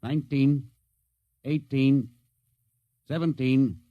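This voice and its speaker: A man plays a spaceship captain in a 1950s radio drama. He is gruff and commanding, with a soft heart under the temper. His mid-pitched voice sounds close and dry. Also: steady tone, slow, dry recording, highs cut off, lightly acted. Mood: brisk, businesslike.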